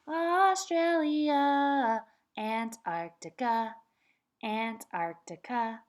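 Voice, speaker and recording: A woman teaching kindergarten, reading a nonfiction book aloud and chanting words slowly for the children to repeat. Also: on the high side. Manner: slow, patient, sing-song chanting of words for children to repeat. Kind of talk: read-aloud lesson for young children.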